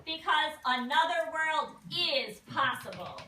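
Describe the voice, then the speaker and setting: A woman's voice: high-pitched, animated, slow pace, bright, forceful tone, full character voice. A woman voicing an earnest, principled activist in a comic puppet show, declaiming emphatically. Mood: emphatic. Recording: distant mic, very noisy echoey room.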